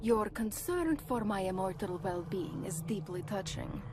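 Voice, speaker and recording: A woman's voice: medium pitch, expressive, unhurried, very noisy, lightly acted. A woman voicing a sarcastic immortal companion in a fantasy video game, with an arch, wry delivery. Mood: dry sarcasm. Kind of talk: dramatic video game acting.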